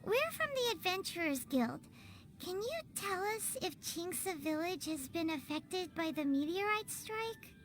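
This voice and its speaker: A woman voicing a small, eager fairy companion in a video game, with a squeaky childlike voice and a perky, inquisitive manner. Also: big pitch swings, unhurried, heavily acted. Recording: faint music behind.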